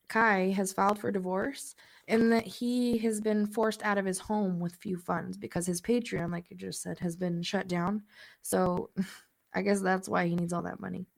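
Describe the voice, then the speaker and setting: A woman's voice: medium pitch, gravelly. A woman co-hosting a casual podcast, chatty and laughing between points, sounding a little stuffy from a cold. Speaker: podcast co-host.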